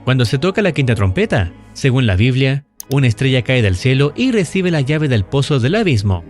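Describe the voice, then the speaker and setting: A man reading scripted narration in a measured, explanatory storytelling way, as in a recap and analysis video. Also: medium pitch, very animated. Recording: music under the voice. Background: background music.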